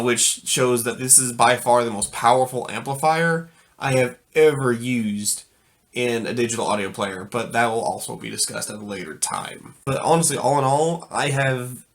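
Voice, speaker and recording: An enthusiastic man with a mid-pitched voice presents an informal product review. He talks in a folksy, conversational way and leans on his words for emphasis, like an audio gear reviewer addressing viewers. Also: a bit bright.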